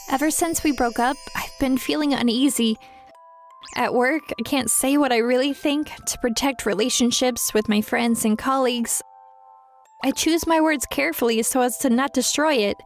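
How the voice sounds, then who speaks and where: A woman's voice: high voice, close to an everyday voice. A woman voicing a proud, feisty young career woman with a vulnerable side, in a dramatized romantic comedy manga dub.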